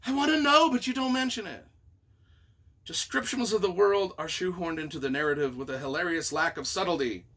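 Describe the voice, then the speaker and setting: A man's voice: high-pitched, a little fast, a bit bright. A man reading reviews aloud and reacting off the cuff as their author, with a theatrical, emphatic, mock-exasperated delivery.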